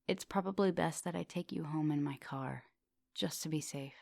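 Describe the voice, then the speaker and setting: A woman playing an immortal vampire professor in an ASMR roleplay, teasing, flirtatious and gently insistent. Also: deep voice, normal speed, vocal fry, no echo, clean studio sound. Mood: caring, insistent. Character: teasing, thousand-year-old female vampire professor, soft dominant, charming. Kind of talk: ASMR roleplay, intimate one-sided conversation.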